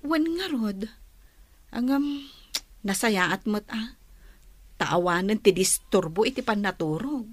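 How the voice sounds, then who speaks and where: An actress playing a practical, sensible wife in a radio drama, calm and gently reasoning. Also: female voice, medium pitch, takes it slowly, pauses often, no echo.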